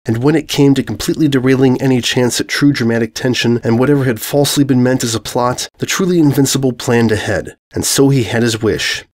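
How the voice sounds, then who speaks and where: A man's voice: mid-range voice, steady tone, brisk, hardly any pauses, a little mellow. A man narrating comic fantasy fiction as a scripted dramatic reading.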